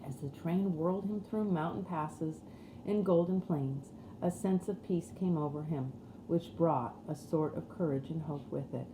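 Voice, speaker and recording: A woman's voice: deep voice, unhurried. A woman reading a storybook aloud as scripted narration. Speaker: audiobook reader. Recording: roomy echo with faint background noise.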